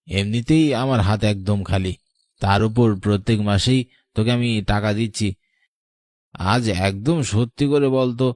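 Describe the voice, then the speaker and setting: A man voices a troubled older father in a cartoon melodrama. His voice sits fairly low and sounds dry and close. He talks to his grown daughter in a fretful, questioning way. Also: darkish tone, no room sound, natural voice. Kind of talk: animated melodrama acting.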